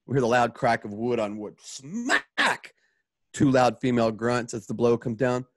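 A man's voice: medium pitch, lively intonation, normal speed, no echo, clean studio sound. A man directing a radio drama, casually narrating sound cues in an informal way with spoken sound effects.

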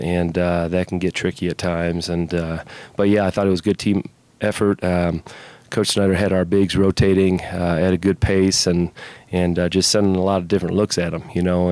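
Low, even-toned male basketball coach. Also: dry recording. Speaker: college basketball head coach. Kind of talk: postgame interview answers. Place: indoors in front of a media backdrop. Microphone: handheld mic held by interviewer.

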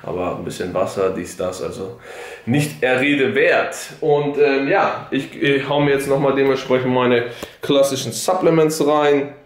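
A man's voice: medium pitch, a little slow, roomy sound. A young man in his twenties filming a casual fitness vlog with a camera at arm's length, joking and slangy, first at home, then in a big gym. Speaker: fitness vlogger. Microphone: camera held at arm's length.

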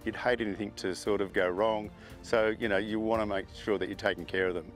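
A man's voice: lowish voice, fairly even intonation, brisk, faint music behind. A middle-aged man, a horse farm owner, talking casually in an interview, rambling with fillers and restarts.

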